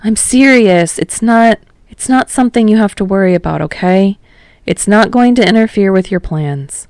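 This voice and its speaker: Woman acting out a teenage boy, evasive, glum, casual halting speech, slightly frustrated, audio story, dry sound. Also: mid-range voice, a little mellow, no echo, natural voice. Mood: guarded. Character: tall, guarded teenage boy, downcast and evasive.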